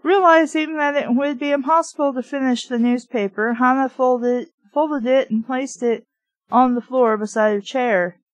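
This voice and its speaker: A woman with a somewhat high-pitched voice, recorded very dry with almost no room sound. She reads and reviews a book in an informal, amateur way, speaking frankly as if chatting to viewers. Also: fairly even intonation, moderate pace, no echo, poor audio. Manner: informal, frank asides, mild swearing. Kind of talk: reading a novel aloud with casual commentary.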